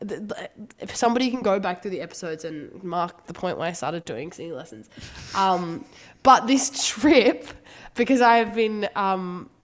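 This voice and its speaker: A woman's voice: mid-range voice, lively intonation, a bit bright. A young woman co-hosting a podcast, chatting casually with a sore throat and dropping the odd swear. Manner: chatty, swearing, quick asides. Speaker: podcast co-host. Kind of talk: casual chat.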